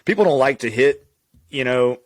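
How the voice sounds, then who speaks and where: A man from Louisiana, likely in his thirties, talking easily as a podcast guest. He's relaxed and candid, laughing often, at a middle pitch. The sound is dry and close. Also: moderate pace, no echo. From Louisiana, USA. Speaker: physical therapist guest. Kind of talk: casual conversational interview.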